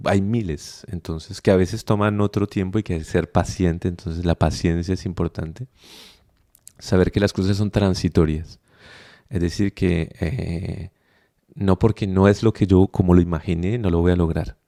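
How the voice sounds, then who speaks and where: A young adult man with a Colombian accent, interviewed on a podcast. His low voice has a gravelly edge and a dark, mellow color. He talks in a reflective, unhurried way, thinking aloud with fillers and restarts. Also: fairly even intonation. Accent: Colombian Spanish. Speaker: podcast guest, migrant. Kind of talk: conversational interview.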